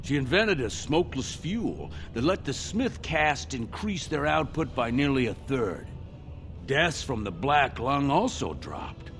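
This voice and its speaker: Lively, gossipy dwarf townsman. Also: mid-range voice, measured pace, soft background music. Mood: explaining. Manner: expressive, indignant storytelling. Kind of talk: video game voice acting.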